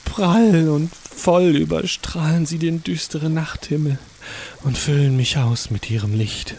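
An actor voicing an eager, fawning, polite cannibal office worker in a comic audio drama, gushing and stammering with awe. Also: male voice, on the high side, a little slow, a little mellow, husky. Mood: worshipful, gushing.

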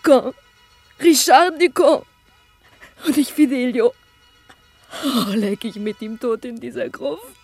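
A woman playing a grieving, devoted young page in a radio drama, mournful and deliberate. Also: fairly high pitch, a little mellow, slightly rough, no echo, faint music behind, full character voice. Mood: grief-stricken. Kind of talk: classic radio drama acting.

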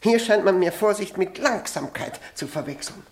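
Agitated, impatient gentleman with swooping voice. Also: sits high, expressive, moderate pace, a little hiss or hum. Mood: indignant. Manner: imperious, sharp, exclamatory. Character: agitated, impatient, scornful gentleman chess amateur. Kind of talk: German radio play acting.

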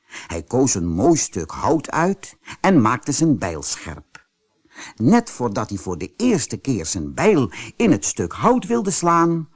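A man reading a children's fairy tale as an audiobook narrator, in an expressive storytelling style. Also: medium pitch, breathy edge.

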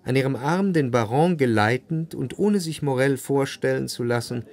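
A man's voice: mid-range voice, expressive, normal speed, a little mellow, natural voice. Male actor as literary narrator, radio play, mid-range voice, reflective, scripted delivery.

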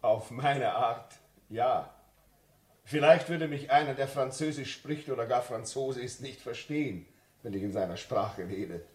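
An actor playing a brooding, dutiful railway signalman in a 1950s German radio drama, speaking gravely and haltingly. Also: mid-range voice. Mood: modest, polite. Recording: muffled-edged 1950s radio drama recording.

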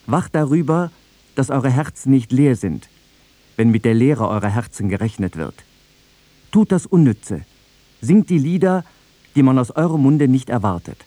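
Solemn, dark-voiced man reciting literature. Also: medium pitch, animated, normal speed, no echo. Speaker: reader of literary quotations.

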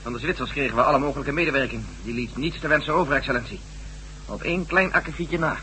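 Man playing a fast, brash radio-drama adventurer. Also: mid-range voice, sharp tone, natural voice. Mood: tense, formal. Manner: blunt, urgent, sarcastic. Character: brash, impatient former astronaut turned newspaper reporter. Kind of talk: Dutch science-fiction radio drama acting. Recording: slightly noisy old radio recording.

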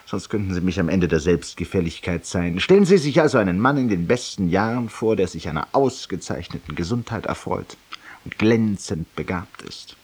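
Deep, husky, smug barroom confessor. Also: male voice, expressive, normal speed, a little mellow. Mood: smug, urbane. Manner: eloquent, urbane, ironic, addressing a silent listener. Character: self-satisfied, confessional middle-aged male barroom raconteur. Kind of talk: theatrical radio drama monologue.

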